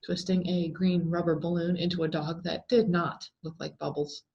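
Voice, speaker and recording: A woman reading a scary story aloud as a dramatic, expressive scripted narration full of suspense. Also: on the low side, normal speed, dull audio. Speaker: story reader.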